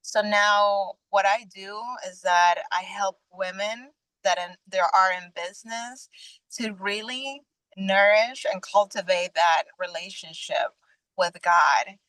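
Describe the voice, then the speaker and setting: A young adult woman from Venezuela, a guest sharing her personal faith testimony in an earnest, halting way with frequent restarts. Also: mid-range voice, fairly even intonation, fairly bright tone, smooth, no room sound, low-quality recording.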